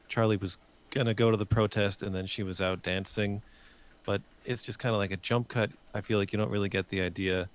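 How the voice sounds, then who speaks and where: A man giving casual feedback in a group discussion, hesitant and hedging as he feels his way through. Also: on the low side.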